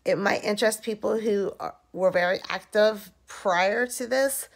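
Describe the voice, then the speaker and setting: A woman in her thirties, hoarse and very tired, talking slowly and drowsily into a phone held at arm's length while lying in bed at home, recording a casual video diary. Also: medium pitch. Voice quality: dry-mouthed, rough voice. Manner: slow, drowsy, rambling. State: hoarse and very tired after surgery. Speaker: vlogger.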